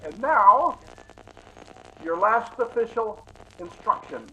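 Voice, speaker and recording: A man's voice: sits high, sing-song, takes it slowly, pushed and bright, highs cut off, full character voice. A man playing a solemn, officious emergency announcer on the radio in a comedy drama. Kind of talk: formal broadcast announcement.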